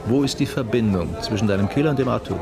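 An actor playing a sober, persistent police detective in a radio crime drama, speaking in a terse, probing way. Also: male voice, mid-range voice, quick pace, a loud crowd, lightly acted. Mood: probing, impatient. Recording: prominent music behind the voice.

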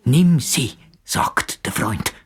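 An actor playing a timid, confused male mental patient in a radio drama, breathy and disjointed, referring to himself in the third person. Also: mid-range voice, animated, very slow, heavily acted. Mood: agitated, fearful.

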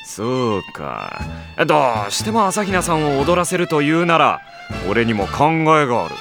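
A man playing a sardonic, long-suffering high-school boy who narrates and argues dryly in an anime audio drama. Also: moderate pace, creaky, heavily acted. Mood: exasperated objection. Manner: dry, wry, deadpan delivery. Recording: music behind the voice.

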